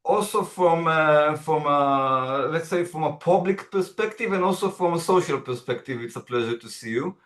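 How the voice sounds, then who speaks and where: A man co-hosting an online panel discussion, measured and thoughtful, pausing and restarting as he works through his points. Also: a little high, fairly bright tone, reverberant. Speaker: founder of a Middle East center, co-host. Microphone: video call.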